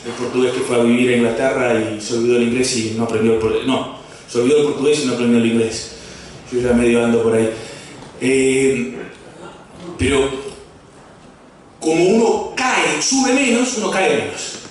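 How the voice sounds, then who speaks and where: A man who grew up in Brazil giving an economics lecture, halting with fillers and restarts, mixing in asides and jokes. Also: mid-range voice. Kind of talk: academic talk. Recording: echoey hall from far off, faint noise.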